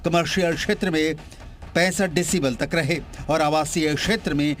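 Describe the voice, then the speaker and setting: A man's voice: fairly high pitch, fairly even intonation, moderate pace, fairly bright tone. A man delivering an emphatic, scripted television news report as a reporter. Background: music bed.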